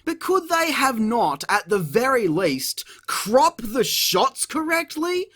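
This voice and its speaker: A man's voice: very high-pitched, very animated, slow pace, sharp tone. A man hosting a comedic video review, narrating a script with sweary, exasperated sarcasm. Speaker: host of a video review show.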